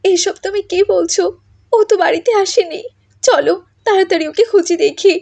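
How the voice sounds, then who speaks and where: A woman's voice: very high-pitched, moderate pace, gravelly, darkish tone, voice pushed up. A woman voicing an emotional, earnest young wife and mother in a cartoon. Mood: worried. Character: anguished young village wife defending her daughter.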